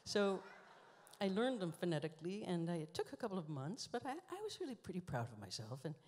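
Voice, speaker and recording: A woman telling dry, musing stories with deliberate pauses, performing a spoken-word monologue into a stand microphone on a large theater stage. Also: lowish voice, big pitch swings, darkish tone. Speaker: performance artist reading her book on stage. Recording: live hall reverb.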